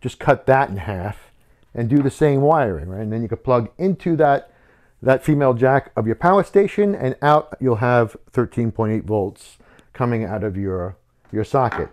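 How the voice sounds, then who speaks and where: Man, fifties, average pitch, casual tutorial host, conversational, step-by-step explainer. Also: moderate pace, a little mellow. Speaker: host of a how-to video channel. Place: wooden home workshop, indoors.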